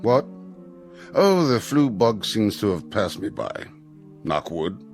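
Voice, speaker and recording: An actor playing a formal, awkwardly tactful school headmaster in a radio drama, with a halting, stiffly polite delivery. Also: male voice, on the low side, very animated, darkish tone, faint music behind, exaggerated voice.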